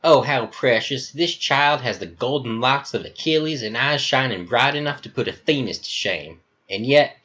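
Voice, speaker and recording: A man's voice: medium pitch, fairly bright tone, muffled recording, voice pushed up, lightly acted. A man voicing a flamboyant, sinister fifty-year-old male governor with an American Southern accent, grandiose and fawning.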